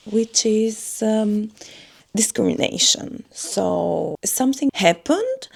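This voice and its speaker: Slow, gravelly-voiced woman vlogger. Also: mid-range voice, a little mellow. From Albania. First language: Albanian. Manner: earnest, slow, searching for words. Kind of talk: personal reflective monologue.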